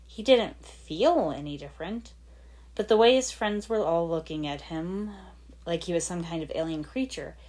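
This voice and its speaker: A woman's voice: fairly low pitch, animated, normal speed, a little mellow. A woman reading fan fiction aloud as dramatic scripted story narration. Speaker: fan fiction podfic reader.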